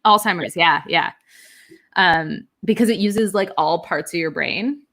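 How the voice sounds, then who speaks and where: A woman's voice: on the low side, a little slow, bright, forceful tone. A young adult woman hosting a livestream, chatting casually and reading out viewer comments with plenty of fillers. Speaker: livestream host. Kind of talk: casual chat on a writing livestream.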